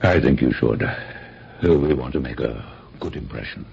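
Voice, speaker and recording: Dignified husband, radio drama, rock-bottom bass, dismissive, formal, gravelly, muffled vintage recording. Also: male voice, moderate pace, full character voice. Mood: genial, settled. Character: stately master of the house, dismissive husband.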